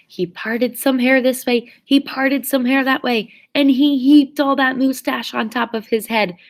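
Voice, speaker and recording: A woman reading a children's story aloud expressively, with theatrical, drawn-out emphasis on key words. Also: on the high side. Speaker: storybook reader.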